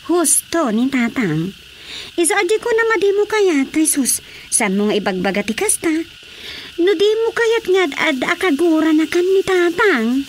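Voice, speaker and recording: A high-voiced Ilocano village woman, chatty and concerned. Her pitch swings widely as she talks in radio drama style, with clear noise behind her. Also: very animated, moderate pace. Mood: animated. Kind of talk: Filipino radio drama acting.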